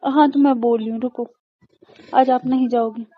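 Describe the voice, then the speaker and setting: High-voiced woman, coaxing and stubborn. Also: fairly even intonation, normal speed, no room sound. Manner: stubborn, playfully pleading. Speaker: wife. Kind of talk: intimate casual chat, coaxing. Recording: dull lo-fi call-style recording.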